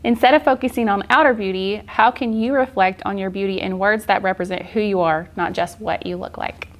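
A woman's voice: medium pitch, brisk. A woman in her forties giving an informal, encouraging art journaling tutorial in a casual style. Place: indoor art studio, seated at work table.

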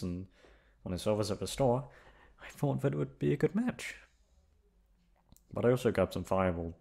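Man acting as a low-voiced, playful college guy. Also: a little mellow. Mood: casual. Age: young adult.

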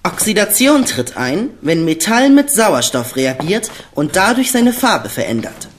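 A woman's voice: lowish voice, sing-song, a little slow, slight background noise. A know-it-all boy detective. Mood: lecturing. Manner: lecturing, precise. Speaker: Justus. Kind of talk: radio play acting.

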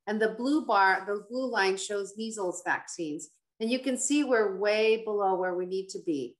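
A woman's voice: mid-range voice, rough recording. Conversational woman doctor presenting slides. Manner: explanatory, conversational. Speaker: pediatric infectious disease physician, panelist. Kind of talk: informative slide presentation at an online town hall. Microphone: video call.

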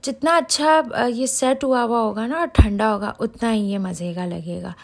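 A woman's voice: a little high, normal speed. Chatty woman giving recipe instructions. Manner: chatty, addresses viewers directly. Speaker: home cooking channel host. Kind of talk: casual recipe voice-over tutorial.

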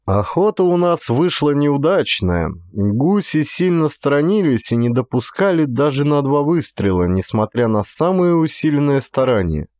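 A man reading a literary story as an actor, in expressive scripted narration. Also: a little high, moderate pace, hardly any pauses, no echo, clean studio sound.

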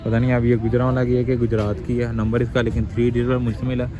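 A man's voice: medium pitch, flat delivery, fast talker. A Pakistani man presenting used cars in walk-around commentary, with English words mixed into repetitive, salesman-like patter, outdoors at a car market. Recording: dull sound, clear outdoor traffic noise.

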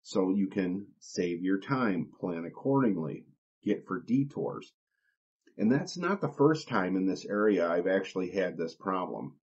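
Male trucker podcast host, low register, plain-spoken conversational delivery, slightly muffled lo-fi sound. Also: very animated, a little slow, a little mellow. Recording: dull lo-fi audio.

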